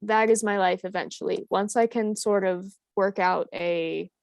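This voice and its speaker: A woman co-hosting a livestream in casual chat, upbeat and quick to agree, talking over a video call. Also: medium pitch, fairly even intonation, creaky, a little mellow, no echo. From United States. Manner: upbeat, quick agreeing interjections, frequent laughs. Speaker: co-host of a translation livestream.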